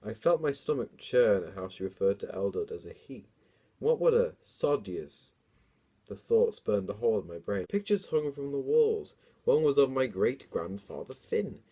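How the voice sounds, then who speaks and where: Husky young man reading theatrically. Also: lowish voice, big pitch swings, moderate pace, mellow. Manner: theatrical reading, self-mocking commentary. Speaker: comedian reading own childhood book. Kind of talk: dramatic reading with casual joking asides.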